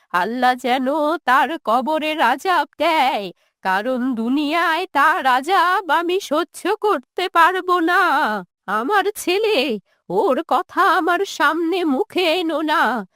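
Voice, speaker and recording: A woman's voice: sits high, expressive, slow pace, a bit bright, no echo, studio quality, full character voice. A woman voicing a bitter, grieving old village mother in a cartoon moral story, with a plaintive, reproachful delivery. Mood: bitter, hurt.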